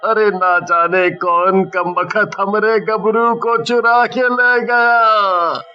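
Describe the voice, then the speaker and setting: Worried village husband, male actor, bedtime story: high voice, slow grumbling delivery, plaintive and scolding. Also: steady tone. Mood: upset, scolding. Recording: muffled lo-fi audio with music.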